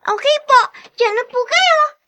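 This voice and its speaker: A little Filipino girl of seven in a radio drama. The voice sits very high and bright, and she is polite and pleading. The studio sound is dry and close. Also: lively intonation, unhurried, dry recording, heavily acted. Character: polite seven-year-old girl, eager to be independent.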